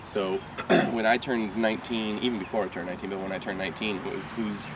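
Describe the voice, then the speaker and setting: A man's voice: fairly low pitch, level tone, fairly quick. A young man from Michigan, a rock band singer, chatting casually in an interview with rambling restarts and frequent laughs. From Michigan, USA. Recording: far from the mic, loud traffic noise.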